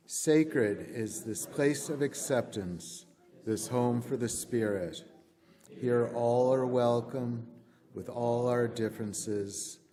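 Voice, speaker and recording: A man leads a recitation in a large, echoing church. His voice is soft-edged and mellow, and he moves through the phrases very slowly and solemnly. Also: mid-range voice. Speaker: worship associate. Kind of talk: leading congregational recitation. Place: church sanctuary at pulpit. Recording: long reverberant church hall.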